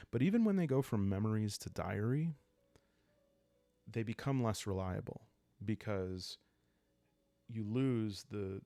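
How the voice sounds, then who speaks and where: Low, creaky-voiced man talking calmly. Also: no echo. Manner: calm, unhurried. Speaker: host of a solo roleplaying playthrough. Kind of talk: calm ASMR game explainer.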